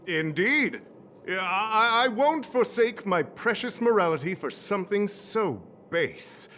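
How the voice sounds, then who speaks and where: A man's voice: high voice, pushed and bright, slight background noise, full character voice. An actor playing a pompous, melodramatic male researcher who poses as morally pure, theatrical and self-important in a video game. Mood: indignant, preachy. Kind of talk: dramatic video game voice acting.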